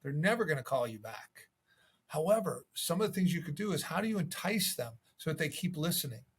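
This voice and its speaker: A man's voice: fairly high pitch, big pitch swings, brisk, breathy edge. A man giving instructional sales tips as a coach, in a conversational manner. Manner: conversational, acts out sample calls. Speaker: real estate sales coach.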